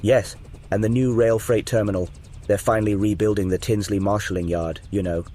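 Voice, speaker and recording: A man playing a gruff, irritable fire chief with a curt, commanding manner in an audiobook. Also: on the low side, steady tone, fairly quick, dark tone, a little hiss or hum, natural voice. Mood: brisk, firm. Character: gruff, irritable male fire chief running a disaster response. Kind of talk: audiobook character dialogue.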